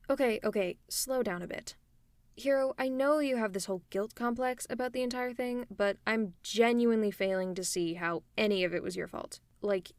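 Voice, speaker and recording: Woman as a teasing supervillain, mid-pitched, close and dry, persuasive ASMR roleplay. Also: no room sound. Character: smug, scheming female supervillain, adult. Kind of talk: one-sided ASMR roleplay to a silent listener.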